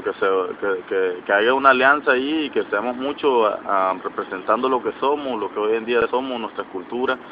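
A man in his twenties from the Atlantic coast of Nicaragua, a reggaeton artist answering interview questions outdoors with many fillers and restarts. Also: medium pitch. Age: 20s. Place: outdoors by a sports field in a city. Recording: lo-fi with street and traffic noise.

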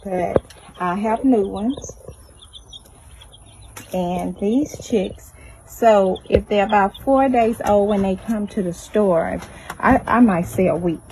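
A woman's voice: medium pitch, warm tone. A middle-aged woman, giving casual commentary on a phone video in her backyard. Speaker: backyard chicken owner. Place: outdoors in a fenced backyard. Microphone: handheld phone behind the camera. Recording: noisy outdoor phone recording with birds. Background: chickens and chirping birds.

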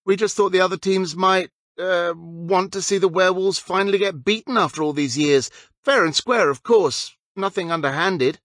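A man voicing a nervous, earnest teenage boy who speaks haltingly in an audiobook. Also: high voice, a bit bright, dry recording, voice pushed up. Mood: nervous, careful. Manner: halting, careful.

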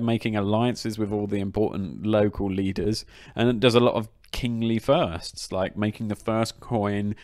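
A man co-hosts a casual history podcast, chatting in an upbeat way. His voice sits a little low. The recording is dry and close, with no room sound. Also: darkish tone, no room sound. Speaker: podcast co-host.

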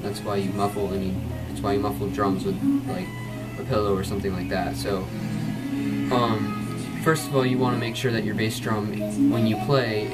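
A man in his twenties with a low voice, explaining drum tuning in a rambling, casual way with many ums, recorded in a small padded bedroom on a camera mic. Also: rough recording. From Florida, USA. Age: 20s. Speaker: drum instructor. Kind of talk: casual tutorial. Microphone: camera mic from a few feet away.

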